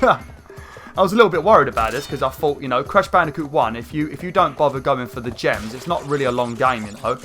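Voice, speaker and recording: Man, croaky, rambling gameplay chat, lots of fillers, faint game music. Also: mid-range voice, fast. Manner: rambling, chatty, many fillers, excited outbursts. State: croaky throat. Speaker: gaming channel host. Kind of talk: casual gameplay commentary.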